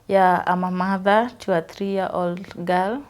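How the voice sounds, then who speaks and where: A woman's voice: fairly low pitch, steady tone, takes it slowly. A Kenyan woman in her twenties from Western Kenya, earnestly telling her life story in an interview and switching freely between English and Swahili. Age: 20s. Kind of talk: personal testimony interview.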